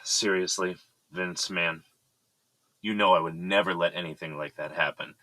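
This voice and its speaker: Serious fatherly executive, very low voice, sharp forceful tone, audio drama. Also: steady tone, normal speed, no echo. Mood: concerned, earnest. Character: composed male architecture firm boss, fatherly and serious.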